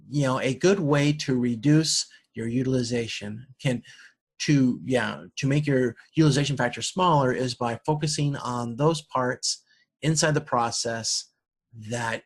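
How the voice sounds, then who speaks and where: A man lecturing informally, explaining step by step in a halting, think-aloud way and often repeating words. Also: medium pitch, vocal fry, breathy edge.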